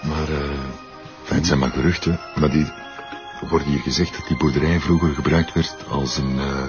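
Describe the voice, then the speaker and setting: A man's voice: rumbling low, steady tone, over music. An actor playing a hesitant Flemish farm owner who keeps stumbling over his words, in a radio drama. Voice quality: halting, full of hesitations. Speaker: farm owner questioned by police. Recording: muffled old radio play recording.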